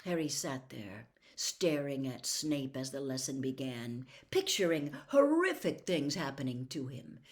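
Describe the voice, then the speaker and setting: A woman narrating a story as a dramatic audiobook reader, expressive and deliberate. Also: rumbling low.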